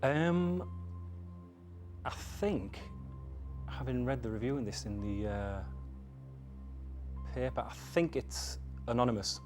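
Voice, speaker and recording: A man in his forties working slowly through his quiz answers, hesitating and thinking aloud as he goes. His voice sits at a middle pitch, with faint music in the background. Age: 40s. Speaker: quiz contestant.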